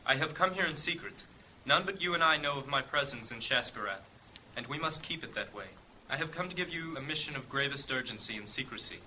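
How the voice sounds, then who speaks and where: Solemn, commanding high churchman. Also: animated, pushed and bright, rough recording. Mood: grave. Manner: grave, formal, measured. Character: solemn high-ranking male church leader, commanding and urgent. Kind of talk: fantasy audio drama acting. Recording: clear background ambience noise.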